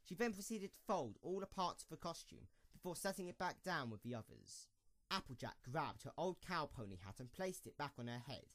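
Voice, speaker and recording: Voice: mid-range voice, sing-song, brisk, no room sound. A narrator reading scripted storybook-style narration for an amateur audio drama.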